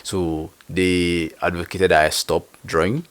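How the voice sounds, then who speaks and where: A young adult man from Nigeria, a guest artist talking casually in an interview, halting and full of fillers. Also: low voice, little pitch movement. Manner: halting, searching, many fillers.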